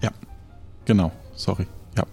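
A man narrating an improvised role-play as game master, describing scenes in a halting, casual way. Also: warm tone, faint music behind.

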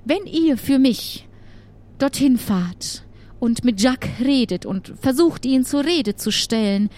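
A woman voicing a troubled, secretive witch who trades in dark goods, speaking in an earnest, halting, pleading way in an improvised audio adventure. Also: sits high, animated. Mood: secretive, worried.

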